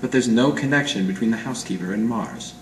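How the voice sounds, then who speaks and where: An amateur actor playing a hard-boiled 1940s private eye in a radio noir, clipped, deadpan and slangy. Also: male voice, medium pitch, quick pace, lightly acted. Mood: urgent, commanding. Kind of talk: 1940s radio noir acting with first-person narration. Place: live presentation in a roomy gallery. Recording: echoey room, distant mic, faint noise.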